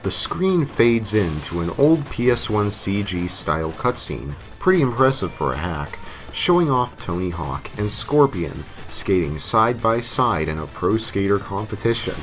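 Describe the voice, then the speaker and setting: A man's voice: fairly low pitch, big pitch swings, a little slow, hardly any pauses, fairly bright tone. A man narrating a scripted comic horror story in a theatrical way, with emphatic delivery. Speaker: creepypasta narrator. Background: music.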